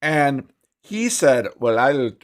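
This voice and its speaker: A man's voice: mid-range voice, slow pace. A middle-aged man co-hosting a casual podcast, laughing often and restarting his sentences. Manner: animated, laughs often, talks through restarts. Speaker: podcast co-host. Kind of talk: casual chat.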